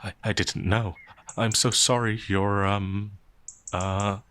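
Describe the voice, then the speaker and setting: Timid werewolf outlaw, male performer: low register, unhurried, flustered and hesitant, soft-natured, fantasy audio roleplay. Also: level tone, slight background noise. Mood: flustered, submissive. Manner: hesitant, stammering, apologetic, flustered. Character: gentle, timid, anxious male werewolf outlaw, easily frightened.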